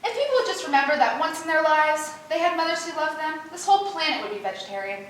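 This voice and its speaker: A woman's voice: sits high, lively intonation, fast talker, a bit bright. A woman playing a vain, ditzy young actress with bubbly, emphatic chatter in a stage play rehearsal. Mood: chirpy, opinionated. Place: echoey indoor rehearsal room. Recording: very echoey room, distant mic, faint noise.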